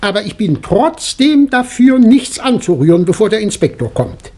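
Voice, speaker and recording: High male voice, inquisitive amateur detective doctor, husky, precise questioning, 1960s radio whodunnit. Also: very animated, moderate pace, a little mellow. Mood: probing, assured. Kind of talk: 1960s German radio crime drama acting.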